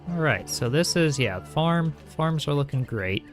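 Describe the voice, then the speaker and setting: A young man streaming a building game, talking calmly and softly while reading chat aloud, with frequent small chuckles. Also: fairly high pitch, unhurried. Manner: soft-spoken, calm, chuckles often, reads chat aloud. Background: faint game music.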